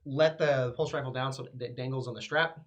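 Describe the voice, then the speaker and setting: A man's voice: mid-range voice, fairly even intonation, brisk. A man playing a tabletop game, casually asking about rules and describing his moves.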